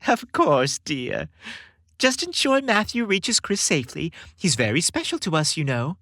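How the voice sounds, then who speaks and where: A man voicing a kindly older woman, Santa's wife, in a gently doting storybook manner. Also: a little high, big pitch swings, measured pace, no echo, clean studio sound, voice pushed up, full character voice. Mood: fond, twinkling.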